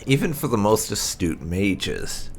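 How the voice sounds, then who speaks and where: A man reading fantasy game lore aloud as narration, in a deliberate, expressive manner with dramatic stresses. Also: on the low side, slight background noise. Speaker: host of a game playthrough channel.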